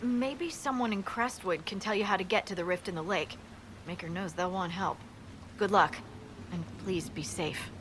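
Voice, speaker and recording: A woman's voice: mid-range voice, moderate pace, background noise, natural voice. An actress playing a friendly female scout with a dry sense of humor in a game. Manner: friendly, wry.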